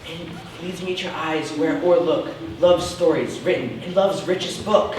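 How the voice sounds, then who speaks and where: A man playing an ardent young gentleman lover, fervently declaiming Shakespearean stage verse. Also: on the high side, moderate pace, natural voice. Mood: impassioned. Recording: very echoey, distant mic, background noise.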